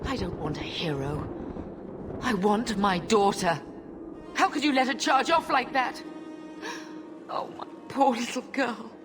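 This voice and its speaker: A woman's voice: fairly high pitch, measured pace, long pauses, natural voice. A woman playing a grieving, tender middle-aged mother in a fantasy game, anguished and pleading. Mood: anguished grief. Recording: loud music under the voice.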